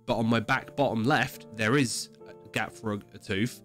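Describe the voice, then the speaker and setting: A man's voice: fairly low pitch, steady tone, normal speed, fairly bright tone, faint music behind. A man with a cold, stuffy and sore-throated, hosting a casual podcast chat, explaining things emphatically with plenty of swearing. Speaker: podcast host.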